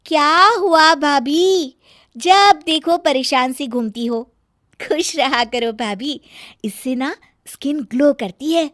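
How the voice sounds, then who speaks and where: A woman's voice: high voice, slow, fairly bright tone, no room sound, studio quality, voice pushed up. A woman voicing a cheeky, easygoing young sister-in-law in a storybook family tale, with a pert, teasing manner.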